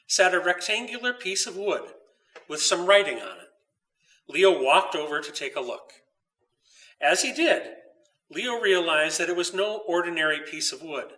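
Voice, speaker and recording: A man reading his own children's story aloud with expressive, dramatic narration. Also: a little high, recorded from a distance, rough recording.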